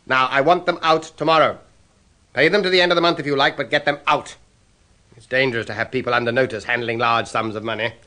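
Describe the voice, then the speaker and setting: An actor playing a hard-nosed new company boss in a radio drama, blunt, scornful and clipped. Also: male voice, medium pitch, fairly even intonation, fast, bright, forceful tone, close to an everyday voice. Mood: dismissive, firm.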